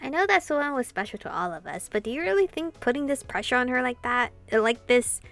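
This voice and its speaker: A woman streamer reading game dialogue aloud and reacting with casual, expressive commentary. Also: high-pitched, a little fast, creaky. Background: game music.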